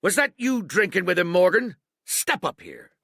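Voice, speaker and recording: A hearty old one-legged cook, as a man puts him on, blustering. His voice swoops wildly and grinds with gravel. The sound is dry and close, with a bright, husky edge. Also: big pitch swings, normal speed, no echo, heavily acted. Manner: blustering, booming sea-dog bluster.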